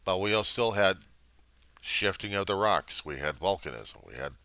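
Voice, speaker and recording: Middle-aged american guy, super low voice but bright and forceful, rambling along, stops and starts thinking out loud. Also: lively intonation, no echo. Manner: halting, thinking aloud, plain-spoken. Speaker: vlogger. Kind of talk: rambling casual monologue.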